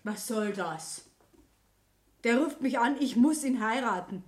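A headstrong young daughter with a fairly high voice. She speaks bluntly and with determination, in the style of a radio drama. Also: animated, a little slow. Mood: reproachful. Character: defiant, headstrong young Bavarian daughter of a businessman. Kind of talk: German radio play acting.

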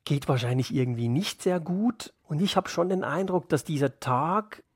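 A man's voice: on the high side, lively intonation, normal speed, breathy edge, dry recording, clean studio sound. A man being interviewed as an author, talking thoughtfully and explaining as he searches for his wording. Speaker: interviewed radio play author. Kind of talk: reflective literary interview talk.

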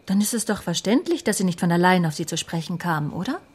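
Brisk, sly police secretary, lowish voice. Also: female voice, animated, breathy edge. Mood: coolly reasoning. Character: sly, self-assured female police secretary with sources. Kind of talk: naturalistic radio drama acting.

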